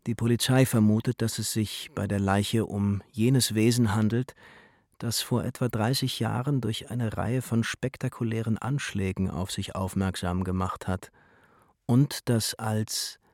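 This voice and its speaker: Sober report narrator, rather low, measured, matter-of-fact, radio drama, dry studio. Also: male voice, fairly even intonation, darkish tone, no room sound. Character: sober chronicler reading a dated report.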